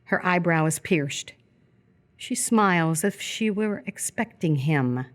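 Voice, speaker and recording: A woman reading scripted narration for live radio theatre in a measured, descriptive way. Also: on the low side, lively intonation.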